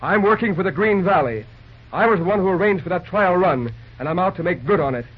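An actor playing a firm, level-headed young American railroad superintendent in an old-time radio drama, speaking in a clipped, businesslike way. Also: male voice, high-pitched, lively intonation, a little fast, slightly rough. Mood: firm, stern. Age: young adult. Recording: dull, lo-fi old radio broadcast recording.

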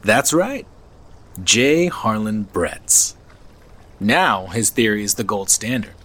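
An actor playing an affable, knowing RV traveler in an audio drama, conversational and slightly teasing. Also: male voice, lowish voice, big pitch swings, slow pace, fairly bright tone, full character voice. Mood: informative, enthusiastic. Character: affable, knowing male RV traveler, subtly probing. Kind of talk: naturalistic audio drama acting.

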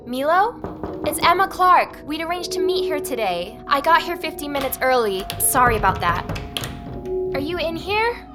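A woman's voice: sits high, sing-song, moderate pace. An inquisitive woman investigator in an audio drama. She speaks politely and naturally, as if documenting her own search, with music faintly underneath. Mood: nervous, apologetic. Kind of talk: audio drama, intimate narration and natural dialogue.

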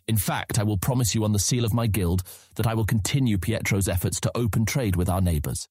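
A man's voice: lowish voice, a little fast, mellow, breathy edge, dry recording, studio quality. A man playing a young guildmaster in a fantasy audio drama, earnest and formal, striving to sound authoritative. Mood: resolute. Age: young adult.

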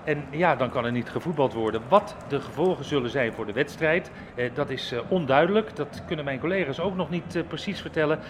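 A man playing an excitable football reporter giving a live radio report. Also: fairly high pitch, fairly quick, hardly any pauses, background noise, close to an everyday voice. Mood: keyed up.

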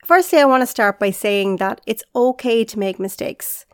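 A woman's voice: fairly high pitch, expressive, normal speed, smooth, no echo, studio quality. A woman, hosting a solo podcast in an upbeat, encouraging way, slightly congested and nasal from being unwell. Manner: upbeat, encouraging, direct to listener. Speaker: podcast host and leadership coach.

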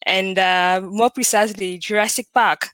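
A woman in her thirties from Cameroon with a French-speaking Cameroonian accent, chatting as an interview guest about her research, animated and laughing often. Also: medium pitch, normal speed, no room sound. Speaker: guest, computer vision researcher. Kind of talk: casual interview chat.

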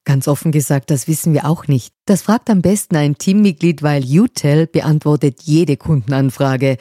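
A woman reading a scripted sponsor message for a podcast in a measured, explanatory way. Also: low-pitched, dark tone, no echo, studio quality. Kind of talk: scripted ad read.

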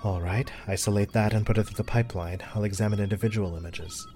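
Actor as formal, doting, low-voiced father. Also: steady tone, mellow, soft background music, natural voice. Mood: brisk, precise. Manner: precise, formal, measured phrasing. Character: formal, doting, protective father running a telescope array. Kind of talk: audio drama acting.

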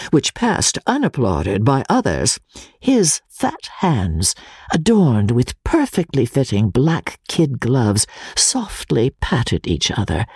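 A narrator giving a dramatic, theatrical reading of classic fiction in measured phrases. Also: mid-range voice, a little mellow, husky, no echo, clean studio sound. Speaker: audiobook narrator. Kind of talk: dramatic scripted literary narration.

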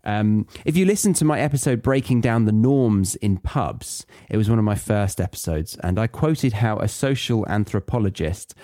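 A man's voice: fairly low pitch, lively intonation, moderate pace, few pauses, dark tone, no echo, studio quality. A British man hosting a podcast, chatting casually and explaining things to listeners. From Britain. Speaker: podcast host teaching English learners. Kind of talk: casual solo podcast talk.

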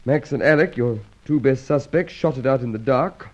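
A dull old radio serial recording carries a male actor as a gruff police inspector. He has a mid-pitched voice and a brisk, commanding manner, easily exasperated by his quarry. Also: a little mellow, close to an everyday voice. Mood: dry, exasperated. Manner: brisk, authoritative, barking orders.

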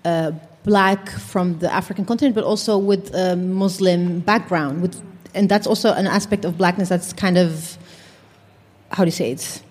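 A woman speaking as a panel guest, measured and deliberate. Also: on the low side, darkish tone, breathy edge. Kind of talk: panel discussion. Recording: reverberant hall.

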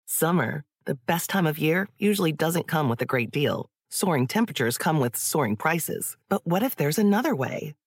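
Lowish-voiced woman reading a commercial. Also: expressive, moderate pace, few pauses, husky, no echo, clean studio sound. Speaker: commercial announcer. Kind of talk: scripted ad narration.

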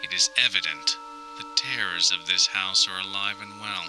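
A man's voice: low-pitched, steady tone, normal speed, over music, natural voice. A man playing a melodramatic grown son trapped in a house, reading his survival audio diary in grandiose, theatrical, formal phrasing. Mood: grave, uneasy. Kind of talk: scripted audio diary monologue, mock-survivalist narration.

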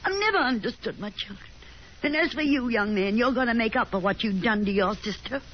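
High-voiced, genteel Southern mother played by an actress. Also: lively intonation, fairly quick, natural voice. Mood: bitter reproach. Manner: theatrical, effusive, reproachful. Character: genteel, overbearing middle-aged Southern mother, fussy and reproachful. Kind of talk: 1950s radio drama acting. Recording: muffled, noisy 1950s radio recording.

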